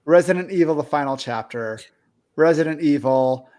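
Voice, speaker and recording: A man with a slightly high-pitched voice hosts a casual group chat. He speaks in a halting way, often repeating a word or phrase before he carries on. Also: darkish tone. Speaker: podcast host. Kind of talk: casual group discussion of movies.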